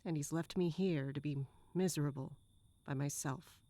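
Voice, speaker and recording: A woman playing a weary, bitter guard who grew up on the streets, slow, downcast and self-reproaching, in an audio drama. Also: low voice, dark tone, no room sound, natural voice. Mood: bitter, miserable.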